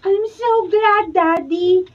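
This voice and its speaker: A performer playing a doting little child with cutesy, drawn-out speech in a Filipino radio drama. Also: very high-pitched, fairly even intonation. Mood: adoring. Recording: distant, dull video sound.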